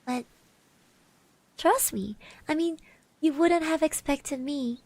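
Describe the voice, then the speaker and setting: A woman plays a kind, bashful girl of eighteen in an ASMR classmate roleplay. Her voice is soft and fairly high, and she speaks very slowly with hesitant, reassuring stammers. The sound is close and dry. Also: dry recording. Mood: shy, reassuring. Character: shy, kind eighteen-year-old girl classmate giving encouragement. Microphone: close microphone.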